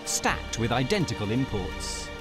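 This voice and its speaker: A man narrating a reality show in a measured, wry scripted voice. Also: mid-range voice, big pitch swings. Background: music.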